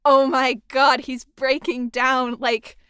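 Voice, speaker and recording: A young woman hosting a casual podcast interview, with a fairly high, smooth, resonant voice. She talks with easy enthusiasm and lots of fillers, and the sound is close and dry with no room echo. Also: little pitch movement, slow, sharp tone. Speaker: podcast host and sound designer.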